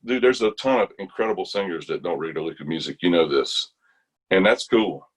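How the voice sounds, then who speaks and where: A man with an American accent and a low voice talks in an informal interview. He rambles a little, thinking aloud and restarting his phrases as he goes. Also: animated, brisk, husky. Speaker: interview guest, bass gospel quartet singer. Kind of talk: casual interview chat.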